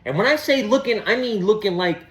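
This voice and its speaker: A young man with a Boston accent delivers a loose, profane stand-up bit, pitched noticeably high for a man. He talks like a streetwise buddy, circling back to his catchphrases. The sound bounces around an echoey room. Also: lively intonation, fairly quick. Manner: profane, streetwise, repeats "you know what I'm saying". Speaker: comedy channel host. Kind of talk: casual stand-up monologue to camera.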